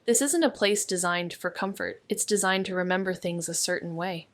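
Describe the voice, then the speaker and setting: A woman playing a wry, watchful young sleuth who narrates a noir mystery in a dry, clipped, deadpan way. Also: lowish voice, normal speed, no room sound, close to an everyday voice. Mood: somber, watchful. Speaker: narrator and lead character.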